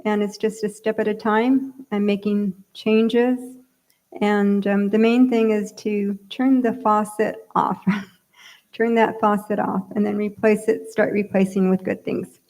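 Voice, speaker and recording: A woman's voice: mid-range voice, little pitch movement, a little slow, darkish tone. Woman hosting, hesitant introduction. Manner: hesitant, with fillers. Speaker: event host introducing the speaker. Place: church hall.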